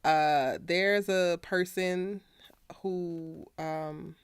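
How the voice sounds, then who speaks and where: A chatty woman hosts a fan discussion show and often speaks straight to her audience. Her voice sits a little low, and the recording sounds dry. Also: fairly even intonation, very slow, clean tone, dry recording. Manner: chatty, addresses viewers directly. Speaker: podcast host. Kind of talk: casual discussion.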